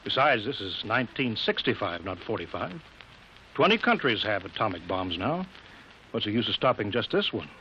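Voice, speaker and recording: Bright-voiced anxious base chief. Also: male voice, lowish voice, sing-song, moderate pace. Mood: reassuring, dismissive. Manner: urgent, pleading. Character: anxious air base project chief pleading with pilot. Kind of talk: 1950s radio drama acting. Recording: muffled, hissy old radio broadcast.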